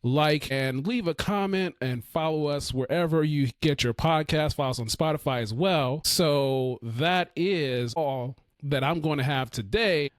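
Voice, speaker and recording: A man hosting his own podcast, reviewing a film in a relaxed, rambling way full of fillers and restarts, with his voice going hoarse. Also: fairly high pitch, a little slow, hardly any pauses, no room sound, clean studio sound. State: losing his voice. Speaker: podcast host. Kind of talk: casual solo film review.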